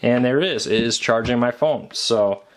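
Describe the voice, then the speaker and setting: A man's voice: mid-range voice, moderate pace. An American man giving a casual, unscripted product review in a conversational, matter-of-fact way. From United States.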